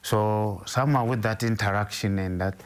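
A middle-aged man from Zimbabwe whose first language is Ndebele, a bishop answering interview questions in a halting way with many restarts. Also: on the low side.

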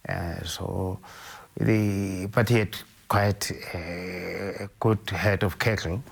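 An older Zimbabwean man with a very low, deep voice. He speaks slowly and carefully in an interview, often pausing and restarting his sentences as he recalls his past. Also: steady tone, creaky, slightly rough. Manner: measured, hesitant, with frequent restarts. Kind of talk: interview, recounting his life.

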